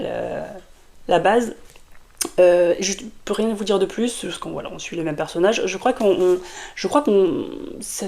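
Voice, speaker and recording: A woman's voice: lowish voice, darkish tone. A woman reviewing a fantasy novel in a casual vlog, with a sore throat from a cold and a rambling, filler-heavy way of talking. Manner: chatty and rambling, many fillers and restarts. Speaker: book vlogger. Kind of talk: casual book review to camera.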